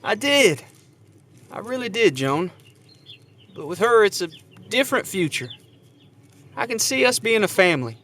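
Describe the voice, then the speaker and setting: A man's voice: high-pitched, sing-song, moderate pace, pauses often, a bit bright, exaggerated voice. A man playing an earnest, sheepish young suitor in an audio drama, devoted but a little feckless.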